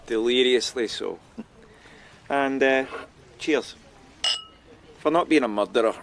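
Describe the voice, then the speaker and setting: An actor playing a dry, ruminative, world-weary older detective in a radio drama. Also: male voice, medium pitch, slow pace, slight background noise. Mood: wry, weary.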